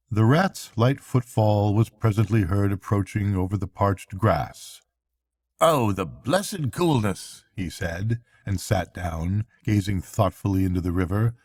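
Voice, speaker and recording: A man's voice: on the low side, dark tone, dry recording. An older man, a grandfather, narrating a bedtime storybook.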